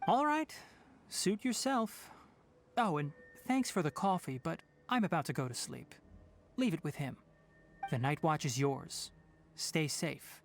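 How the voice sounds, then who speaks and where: A man voicing a calm, principled young male forest ranger and scholar in a video game, speaking in a measured, thoughtful way. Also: a little high, a little hiss or hum.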